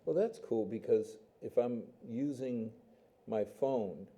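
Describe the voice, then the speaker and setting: Dark-voiced chatty male talk show host. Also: medium pitch, slow. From Massachusetts, USA. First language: Portuguese. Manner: folksy, chatty, joking. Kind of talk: casual interview chat.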